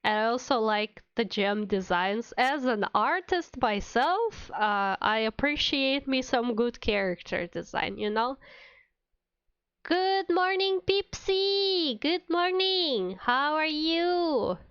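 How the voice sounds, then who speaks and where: Woman streamer chatting to viewers, kinda high smooth voice, bit sick, dry slightly muffled mic. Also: lively intonation, slow, a bit bright, dry recording. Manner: chatty, addresses viewers by name. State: sick with a cold. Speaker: VTuber streamer. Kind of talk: casual livestream chat while playing a game.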